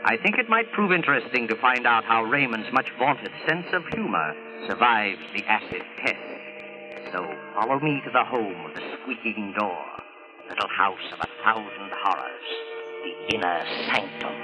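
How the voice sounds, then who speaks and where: A man's voice: fairly low pitch, animated, moderate pace, low-quality recording. A man giving a scripted, wry, theatrical introduction as a 1940s radio announcer. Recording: old radio broadcast with music.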